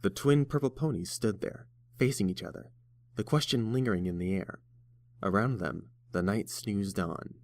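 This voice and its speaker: A man's voice: fairly low pitch, moderate pace, gravelly, darkish tone. A man narrating a story from a script as a storybook narrator.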